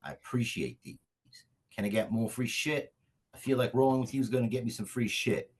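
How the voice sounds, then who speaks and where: A man acting a husky, brash vengeful lone agent. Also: medium pitch, animated, brisk, darkish tone. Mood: cocky, wheedling. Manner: slangy, profane, stubborn, cocky. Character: brash, vengeful, hard-drinking lone agent, male, streetwise. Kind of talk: virtual table read of a comedy screenplay.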